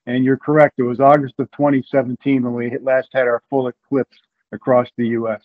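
A man's voice: mid-range voice, little pitch movement, brisk. A middle-aged American man explaining things casually as an interview guest, speaking from a room at home over a video call. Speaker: interview guest, amateur radio event coordinator. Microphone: video call with earbuds. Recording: narrow, phone-like video call audio.

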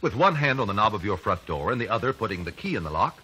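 An actor playing a methodical, confident trial lawyer in an old-time radio drama, American, questioning formally and precisely. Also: male voice, lowish voice, big pitch swings, fast, pushed and bright, exaggerated voice. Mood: probing. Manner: precise, formal cross-examining. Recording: raspy vintage 1950s radio recording.

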